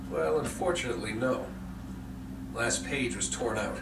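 An actor playing a cocky, eager male adventurer in his thirties in a video game, teasing and quick with a joke. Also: medium pitch, animated, creaky, distant mic, very noisy. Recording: game audio played in an echoey room, music behind.

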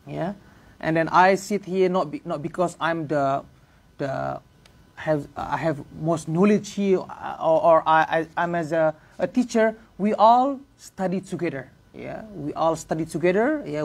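A man in his thirties with an Indonesian accent, giving an informal religious lesson in halting English, filling pauses with "yeah" and "uh". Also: on the high side, animated, vocal fry. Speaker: religious teacher. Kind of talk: informal lecture to a small class. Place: prayer room, indoors.

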